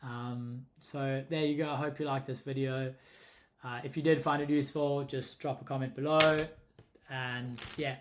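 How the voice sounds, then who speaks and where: A man's voice: mid-range voice, flat delivery, normal speed. A young man with a South African accent casually talking through a pricing tutorial, thinking aloud and often correcting himself. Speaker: short-term rental host explaining pricing. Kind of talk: casual screen-recorded tutorial.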